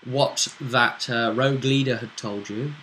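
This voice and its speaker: Bright-voiced British man running a game. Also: medium pitch, fairly even intonation, a little slow, slight background noise, poor audio. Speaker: game master. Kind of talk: casual recap of a tabletop role-playing game.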